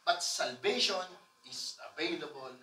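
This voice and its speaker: A man, preaching loudly and emphatically to a congregation, often asking for amens. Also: a little high, lively intonation, measured pace, slight background noise. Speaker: pastor. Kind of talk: sermon. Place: church stage with colored lights. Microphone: far camera. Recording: echoey room from far off.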